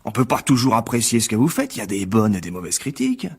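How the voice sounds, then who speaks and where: An actor playing a bitter, sarcastic failed actor turned critic in a French radio drama, needling with false cheer. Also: male voice, lowish voice, huge pitch swings, quick pace, slightly rough, exaggerated voice. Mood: scornful.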